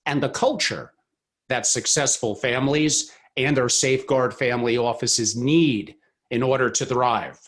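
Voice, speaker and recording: A man hosting a podcast, with a voice of ordinary middle pitch. He speaks in a measured, formal way as he introduces a guest and asks questions. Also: fairly bright tone. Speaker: podcast host. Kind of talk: scripted intro then interview questions.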